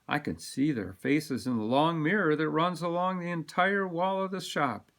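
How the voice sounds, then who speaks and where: A man reading a children's picture book aloud with expressive, dramatic emphasis. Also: fairly high pitch, normal speed. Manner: animated, dramatic delivery with sound-word emphasis. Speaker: storybook reader.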